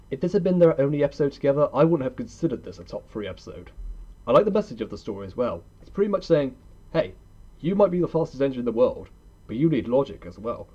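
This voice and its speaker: A man's voice: mid-range voice, mellow, muffled recording. A young man narrating a scripted countdown review in an opinionated, conversational manner. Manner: opinionated, conversational, rhetorical questions. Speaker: video host and reviewer.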